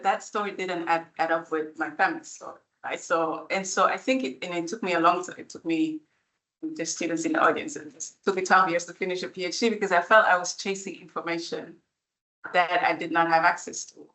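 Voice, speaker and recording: Bright-voiced woman thinking aloud. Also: fairly low pitch, rough recording. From Belgium. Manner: thinking aloud, rambling, with restarts. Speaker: international relations academic. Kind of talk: panel discussion at a book event.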